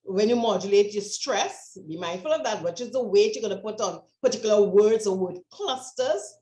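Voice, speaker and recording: A woman's voice: mid-range voice, moderate pace, dark tone. Trinidadian woman coaching on a roomy call. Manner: encouraging, instructive, stretches words. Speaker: communication trainer. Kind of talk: online workshop coaching. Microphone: video call.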